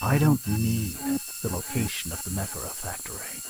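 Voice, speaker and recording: A low, cold assassin. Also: male voice, lively intonation, normal speed, poor audio. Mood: cold, defiant. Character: cold, defiant male assassin, dryly mocking. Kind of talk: cartoon-style video game voice acting.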